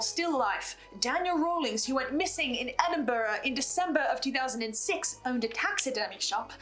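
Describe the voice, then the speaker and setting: A woman delivering a scripted, dramatic video log monologue with ominous pauses for effect. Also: high voice, fairly bright tone, low-quality recording. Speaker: host of a listener's video log. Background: music behind the voice.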